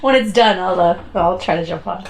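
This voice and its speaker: A woman's voice: fairly low pitch, brisk, vocal fry, rough recording. A woman co-hosting a podcast in an informal, casual chat style. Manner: informal, laughs readily. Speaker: podcast co-host.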